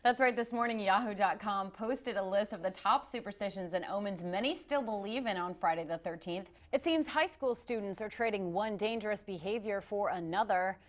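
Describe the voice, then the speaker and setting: A woman's voice: medium pitch, fairly quick, few pauses, highs cut off. A woman with an American accent reading scripted television news as an anchor.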